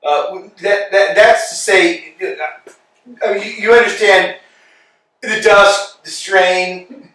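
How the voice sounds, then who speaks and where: An actor playing an anxious, fumbling vagrant who stammers in a staged play reading. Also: male voice, high-pitched, steady tone, slow, a bit bright. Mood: flustered. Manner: stammering, flustered. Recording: echoey room, far mic.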